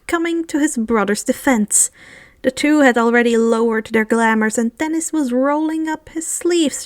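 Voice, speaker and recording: A woman's voice: sits high, dry recording, studio quality. A woman reading fan fiction aloud as an amateur narrator, in scripted storytelling style.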